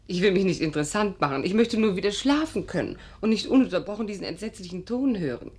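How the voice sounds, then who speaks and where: Husky, troubled female patient. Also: mid-range voice, expressive, fast talker, a little mellow. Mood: defensive, weary. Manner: precise, dramatic, emphatic. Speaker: actress in a radio play. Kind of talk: 1950s German radio drama acting.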